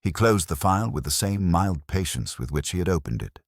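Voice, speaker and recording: A man's voice: deep voice, expressive, a little fast, no echo, studio quality. A man reading scripted mystery narration as a dramatic storyteller.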